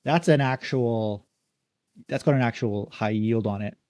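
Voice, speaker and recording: Upbeat podcast host, mellow voice. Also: male voice, medium pitch, no room sound, studio quality. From Canada. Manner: upbeat, quick, leans on "like" and "uh". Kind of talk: casual interview.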